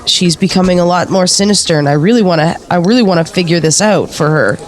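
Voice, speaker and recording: A woman's voice: lowish voice, fast talker, close to an everyday voice. Earnest woman investigator played by an actress. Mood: earnest, concerned. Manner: earnest, insistent, hesitant with repeated phrases. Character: eager, earnest female investigator of a ghost mystery. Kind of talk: audio drama acting, naturalistic interview dialogue.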